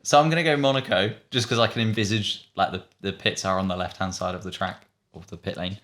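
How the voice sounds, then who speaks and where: A man's voice: deep voice, fairly even intonation, quick pace, a bit bright. A man chatting casually and thinking aloud in a hesitant, musing way while playing a quiz. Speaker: online video host, quiz player.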